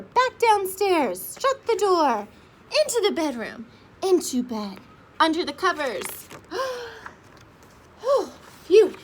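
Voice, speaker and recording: A woman giving an expressive picture-book read-aloud for young children, with dramatic gasps and sing-song repeated phrases. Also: high-pitched. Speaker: children's storyteller, reading aloud with her young daughter. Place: outdoors. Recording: outdoor ambient noise behind the voice.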